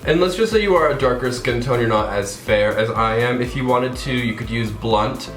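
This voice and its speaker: A man's voice: mid-range voice, moderate pace, fairly bright tone, soft background music. A young man with a stuffed-up nose from a cold, chatting casually through a makeup tutorial with lots of fillers. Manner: chatty, rambling, many fillers. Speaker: makeup vlogger. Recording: roomy echo.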